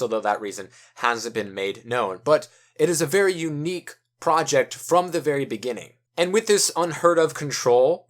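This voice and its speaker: A man giving video essay commentary on games and film, speaking emphatically with drawn-out key words and pauses. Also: medium pitch, fairly bright tone.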